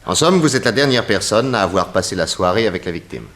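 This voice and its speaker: An actor playing a polite, probing, authoritative private detective in an early 1960s French radio mystery. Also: male voice, lowish voice, expressive, fast, a bit bright, a little hiss or hum. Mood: courteous, authoritative. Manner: inquisitive, courteous, theatrical.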